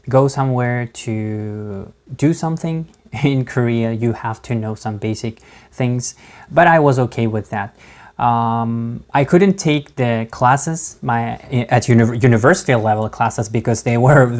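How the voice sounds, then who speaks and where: Slow halting man, non-native English accent. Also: mid-range voice, a little mellow. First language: not English. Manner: halting, many fillers and restarts, laughs between words. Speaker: vlogger. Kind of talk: casual talk to camera.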